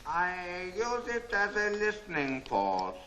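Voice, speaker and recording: An actor playing a sly, ingratiating bazaar owner in a 1940s film serial, speaking in deliberate, stilted phrases on a muffled old soundtrack. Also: male voice, high voice, big pitch swings, pushed and bright, a little hiss or hum, full character voice. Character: sly, ingratiating Chinese bazaar owner, secret underworld informant.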